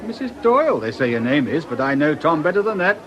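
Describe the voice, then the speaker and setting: An actor playing a wry police detective inspector in a radio drama, politely probing and insinuating. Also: male voice, a little high, sing-song, fast, muffled recording. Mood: probing, needling. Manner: breezy, insinuating, politely needling. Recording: noisy outdoor crowd behind the voice.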